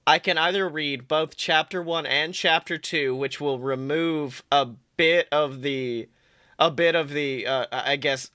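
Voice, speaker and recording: Bright-voiced young man, swearing and animated. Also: a little high, dry recording, studio quality. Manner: swears freely, laughs often, animated. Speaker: podcast co-host. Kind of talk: casual chat and reading fan fiction aloud.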